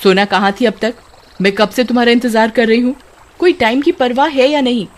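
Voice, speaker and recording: A woman's voice: on the high side, fairly quick, voice pushed up, close to an everyday voice. A woman voicing a worried village mother in a storybook tale, scolding with nagging questions.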